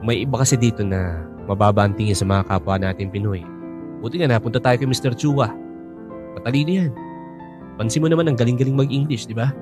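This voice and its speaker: An actor playing a talkative, helpful fellow overseas worker who jokes and reassures, in a radio drama. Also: male voice, medium pitch, fast, pauses often, music in the background, close to an everyday voice. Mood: friendly. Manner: chatty, joking, reassuring. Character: chatty, helpful coworker who knows everyone.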